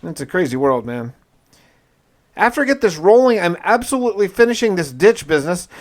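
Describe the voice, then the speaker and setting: A man's voice: high-pitched, sing-song, a little fast. A man giving a casual first-person gameplay narration in a conversational, informal, musing way. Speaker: gaming video narrator.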